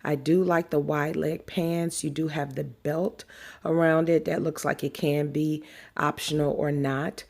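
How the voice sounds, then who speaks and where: A woman with a low, deep speaking voice talks to her sewing channel in a relaxed, chatty way. A cold leaves her sounding a bit nasal. She lingers on words she loves and fills gaps with ums and uhs. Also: vocal fry. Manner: chatty, unhurried, drawn-out emphasis, many fillers. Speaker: sewing vlogger. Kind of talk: casual chat to camera.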